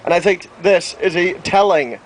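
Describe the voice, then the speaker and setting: A young man with a slightly high voice speaks informally to the public outdoors. His delivery is halting as he searches for words. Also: rough recording. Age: young adult. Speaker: student activist speaker. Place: outdoor town plaza.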